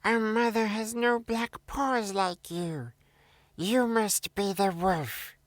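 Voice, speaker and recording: Man putting on high, slow little goat kids. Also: no room sound. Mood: wary, defiant. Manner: slow, emphatic, childlike delivery. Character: little goat kids, wary, innocent young children.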